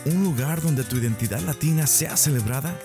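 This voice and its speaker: A man's voice: a little high, fairly quick. A man narrating a scripted advert for a church community. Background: music.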